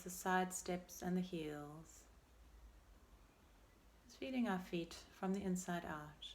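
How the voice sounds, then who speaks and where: A woman leading a guided meditation as a teacher, speaking in a gentle, unhurried and soothing way with pauses between phrases. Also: lowish voice, a little hiss or hum. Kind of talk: guided meditation, body scan.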